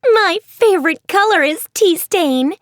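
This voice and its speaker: A woman voicing a zany, excitable young girl who speaks in a giddy, whimsical way. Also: very high voice, measured pace, no room sound, studio quality, pitched above the performer's own voice.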